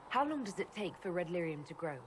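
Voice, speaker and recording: A woman's voice: lowish voice, big pitch swings, fast talker. A woman playing an inquisitive, diplomatic elven leader in a video game, composed and sincere. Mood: curious. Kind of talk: video game character dialogue. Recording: clear background ambience noise.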